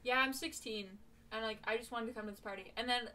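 A woman's voice: on the high side, fairly even intonation, quick pace, sharp tone, rough recording. A young woman hosting a podcast, telling stories in a casual, chatty way. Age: young adult. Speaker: podcast host. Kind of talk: casual chat, storytelling.

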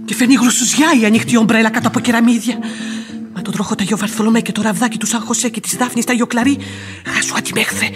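An actress playing an outspoken, superstitious housekeeper who complains bluntly in a radio play. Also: female voice, fairly high pitch, steady tone, lightly acted. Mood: scolding. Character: outspoken, grumbling, superstitious female housekeeper and nanny. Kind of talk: radio theatre acting. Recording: radio drama with faint music behind.

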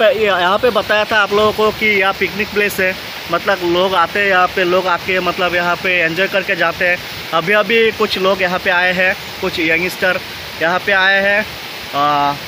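A young man filming a casual travel vlog on his phone outdoors, chatty and addressing his viewers. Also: high voice. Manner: chatty, repeats "दोस्तों" and "मतलब", addresses viewers. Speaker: vlogger. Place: outdoors by a forest stream and picnic shelter. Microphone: phone held at arm's length. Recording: noisy outdoor phone recording. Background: rushing stream water.

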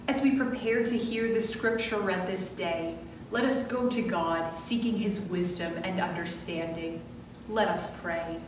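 A woman leads prayers as a pastor in a church, her delivery slow and reverent. The sound is heavily reverberant and picked up from a distance, with clear background noise. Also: lowish voice. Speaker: pastor leading worship. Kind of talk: scripted prayer and worship leading. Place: medium-sized church sanctuary, indoors. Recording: echoey church, distant mic, clearly noisy.

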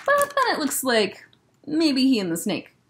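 A young woman reading a children's picture book aloud as a librarian storyteller, expressive and deliberate. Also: high-pitched. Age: young adult. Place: indoor library room.

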